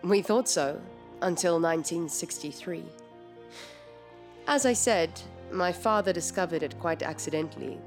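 A woman's voice: fairly low pitch, animated, measured pace, music in the background. An actress playing an earnest, precise female scientist in an audio drama, explaining things formally and carefully.

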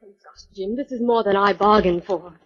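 An actress playing an excitable female assistant to a detective hero in a 1940s radio drama. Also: medium pitch, brisk, breathy edge, natural voice. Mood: frightened. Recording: dull, reverberant 1940s radio recording.

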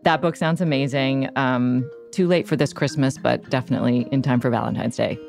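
A woman's voice: very deep voice, fairly even intonation, fairly quick, creaky, darkish tone, faint music behind. A woman hosting a podcast in a conversational interview style. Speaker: podcast host.

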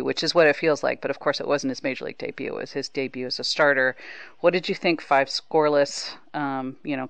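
A woman's voice: low-pitched, a bit bright, clear, resonant tone. An American woman hosting a conversational baseball podcast interview in a chatty, enthusiastic manner. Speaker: podcast host, baseball beat writer.

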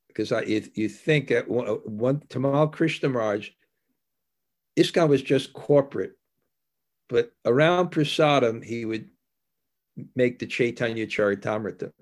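A man giving an anecdotal spiritual discourse as a devotional teacher, mixing storytelling with scripture reading in a reflective, halting, conversational manner. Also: mid-range voice, darkish tone.

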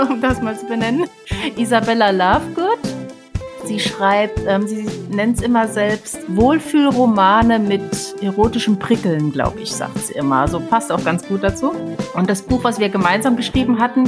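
A woman chatting casually as the co-host of a book podcast. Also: fairly high pitch. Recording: music playing behind voice. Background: music.

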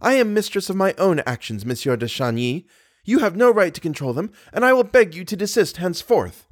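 A man's voice: fairly high pitch, sing-song, a little fast, a little mellow, pitched above the performer's own voice. A man voicing a proud, guarded young woman who speaks firmly and formally. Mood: haughty, exasperated. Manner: firm, formal.

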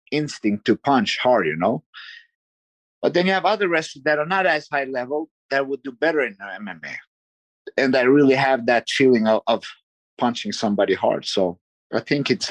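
A man who grew up in Sweden, a guest fighter answering interview questions in a halting, thoughtful way. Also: mid-range voice, no room sound. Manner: halting, restarts often, thoughtful.

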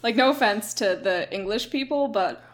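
Woman podcast cohost, chatty and opinionated, mid pitch, laughs a lot. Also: moderate pace. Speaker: podcast co-host. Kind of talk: casual chat.

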